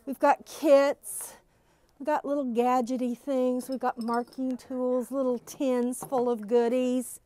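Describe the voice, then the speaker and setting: Middle-aged lady showing off new stuff in her quilt shop, high voice, super enthusiastic, dry sound. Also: little pitch movement, takes it slowly, warm tone, no room sound. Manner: bubbly, gushing enthusiasm. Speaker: quilt shop employee giving a shop update. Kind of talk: casual shop tour walkthrough. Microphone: phone camera following her, wearing a face mask.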